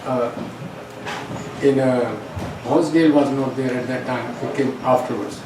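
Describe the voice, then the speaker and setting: An older man from India with an Indian accent, whose first language is Hindi, telling stories as a yoga teacher in halting, repeated phrases. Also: medium pitch. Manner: hesitant, repeats words, short phrases. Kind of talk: reminiscing in a recorded conversation. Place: bookstore event room. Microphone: distant microphone. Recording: roomy echo, distant mic, steady background hiss.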